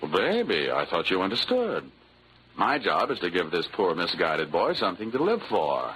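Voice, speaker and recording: An actor playing a wisecracking, flirtatious hard-boiled investigator, narrating and bantering sardonically in a 1940s radio drama. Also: male voice, sits low, wildly swooping pitch, normal speed, a bit bright, slight background noise, heavily acted, low-quality recording. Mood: coaxing. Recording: old 1940s radio recording.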